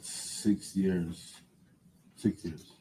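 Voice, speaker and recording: Witness, male, slight Jamaican accent, very low and very dark voice, terse replies. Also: fairly even intonation, very slow, a little hiss or hum, poor audio. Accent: slight Jamaican on some words. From Connecticut, USA. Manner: short, quiet answers. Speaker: witness, former police officer. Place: courtroom witness stand.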